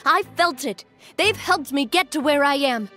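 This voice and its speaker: A young female boss in a video game scene. The voice is high, earnest and resolute, with a commanding delivery over faint background music. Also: expressive, moderate pace, bright, forceful tone. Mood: defiant, heartfelt.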